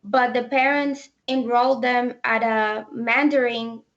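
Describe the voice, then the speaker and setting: A young woman from the Dominican Republic with a Dominican Spanish accent, speaking thoughtfully with many pauses in a casual discussion. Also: fairly high pitch, steady tone, fairly bright tone, dull audio. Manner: thoughtful, hesitant with many ums.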